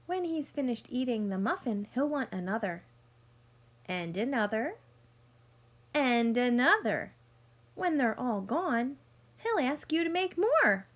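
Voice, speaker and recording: A woman reading a picture book aloud like a teacher, speaking deliberately to young listeners and explaining as she goes. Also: a little high, very animated. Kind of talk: read-aloud storytelling with teaching asides.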